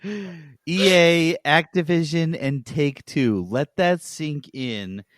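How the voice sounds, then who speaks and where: A man's voice: a little high, takes it slowly, a bit bright, no room sound, studio quality. A man co-hosting a casual gaming podcast with emphatic, wry remarks. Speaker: podcast co-host. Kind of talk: casual gaming news banter.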